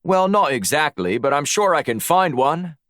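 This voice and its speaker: A male audiobook narrator acts out a brash spy bluffing as an Australian. The voice is raised and very lively, with a breezy, matey, protesting manner. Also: fairly high pitch, moderate pace, no echo, studio quality, voice pushed up. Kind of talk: audiobook character dialogue.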